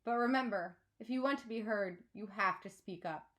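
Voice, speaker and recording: A woman's voice: mid-range voice, moderate pace, fairly bright tone, natural voice. A woman voicing an earnest woman food-truck vendor from a storybook, projecting as she rallies a meeting. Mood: earnest, rallying. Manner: projecting, speaking up to a room.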